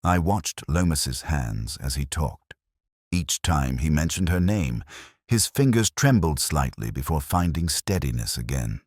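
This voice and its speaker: A man playing a brooding, world-weary police inspector, narrating a case in measured, solemn, literary first person. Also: very deep voice, lively intonation, dry recording, studio quality, exaggerated voice. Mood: somber, reflective.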